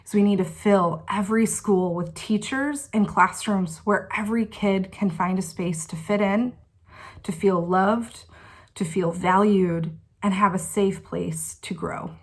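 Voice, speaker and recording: Woman giving a slow, heartfelt message. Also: mid-range voice. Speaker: educator. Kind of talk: prepared video message.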